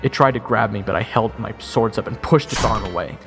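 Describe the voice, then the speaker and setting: A man's voice: on the low side, a little fast, vocal fry, a bit bright. A man giving first-person dramatic scripted narration as the hero of a fantasy audio roleplay. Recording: long reverb, music under the voice.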